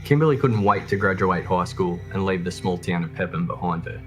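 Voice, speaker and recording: A man's voice: low voice, fairly quick, faint music behind. A man reading scripted true crime documentary narration as the narrator.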